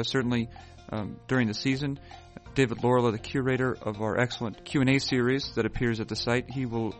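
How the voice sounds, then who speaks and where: A man hosting a podcast, talking in a wry, halting way full of fillers, sounding sleepy early in the morning in a hotel room. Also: mid-range voice. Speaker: podcast host. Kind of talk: rambling podcast intro and chat.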